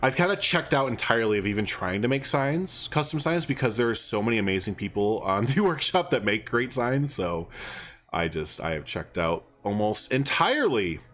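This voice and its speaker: A stuffed-up man chats away while he plays through a game on stream, thinking out loud and drifting from one thought to the next. He fills the pauses with little hummed tunes. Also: mid-range voice, sing-song. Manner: rambling and chatty, thinks aloud, hums filler tunes. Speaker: livestreamer. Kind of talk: casual gameplay livestream chat.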